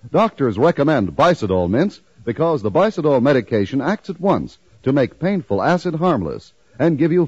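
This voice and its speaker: A man's voice: on the high side, darkish tone, slightly rough, dry recording. An American man delivering an emphatic 1950s radio advertisement as a commercial announcer. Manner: emphatic, enumerating sales delivery. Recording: dull band-limited 1950s radio recording.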